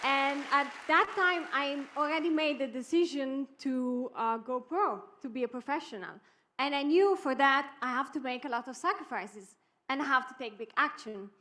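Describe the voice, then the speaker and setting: A woman's voice: high-pitched, moderate pace, bright, forceful tone. A woman in her twenties from Europe telling her career story as a guest speaker. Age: 20s. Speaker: summit guest, network marketer. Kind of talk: testimonial storytelling.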